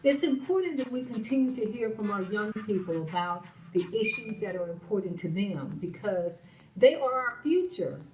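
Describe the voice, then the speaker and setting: A woman's voice: mid-range voice, expressive, moderate pace. A woman hosts her show, speaking earnestly and repeating phrases for emphasis. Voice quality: slightly raspy. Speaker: show host. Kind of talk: spoken commentary to camera. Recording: roomy sound from a distant mic with faint music.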